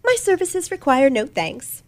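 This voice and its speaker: A woman's voice: sits high, sing-song, unhurried. A woman playing a self-assured, precocious teenage girl detective in an old-fashioned radio play, poised, smug and formal. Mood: self-satisfied, playful.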